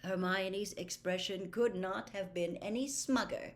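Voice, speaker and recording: Medium-pitched woman narrating a story. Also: fairly even intonation, measured pace. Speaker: audiobook reader. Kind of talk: expressive scripted story narration.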